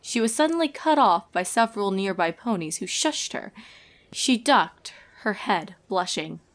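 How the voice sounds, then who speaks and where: A woman's voice: mid-range voice, lively intonation, unhurried. A woman reading scripted narration of a comic story as the storyteller.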